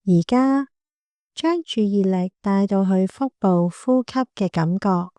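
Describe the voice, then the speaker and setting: A woman with a Hong Kong Cantonese accent guiding a meditation in a gentle, calm, unhurried manner. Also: mid-range voice, dry recording. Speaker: meditation guide, clinical psychologist. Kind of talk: guided body scan meditation.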